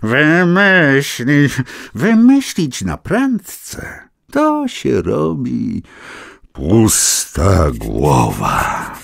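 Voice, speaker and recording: A man playing a whimsical storyteller, narrating a children's tale theatrically with rhymes and dramatic pauses. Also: medium pitch, creaky, dry recording, studio quality, exaggerated voice. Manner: expressive, rhyming, dramatic pauses.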